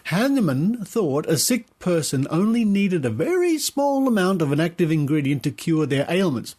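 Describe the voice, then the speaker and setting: Higher-voiced man reading a report. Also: a little mellow. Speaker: podcast presenter. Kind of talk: scripted narration, reading an article aloud.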